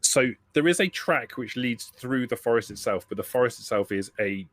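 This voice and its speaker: A man's voice: medium pitch, lively intonation, moderate pace, fairly bright tone. A man acting as game master, explaining rules and narrating a tabletop roleplaying game in a hesitant, explanatory way.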